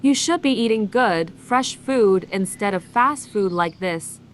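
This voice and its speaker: A woman's voice: medium pitch, animated, measured pace, slight background noise, voice pushed up, natural voice. A woman voicing a bossy, snooty sister with a haughty, scolding manner in a storybook reading. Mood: disapproving.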